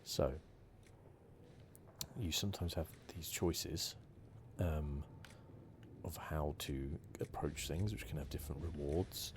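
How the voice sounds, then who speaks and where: A man softly giving relaxed, hesitant ASMR commentary over a video game playthrough, close to the microphone. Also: extremely low pitch, slow pace, pauses often. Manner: soft-spoken, relaxed, hesitant. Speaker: gaming video host. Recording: clear steady background noise.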